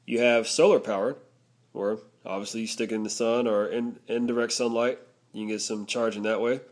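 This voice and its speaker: A man's voice: on the low side, fairly even intonation, brisk. A man narrating a casual product review, explaining things step by step.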